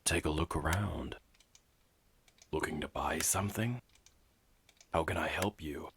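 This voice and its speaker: A man's voice: very deep voice, a little slow, fairly bright tone, a little hiss or hum, full character voice. A man playing a shopkeeper in a fantasy video game, speaking short stock dialogue. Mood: helpful, businesslike. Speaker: game character voice clips.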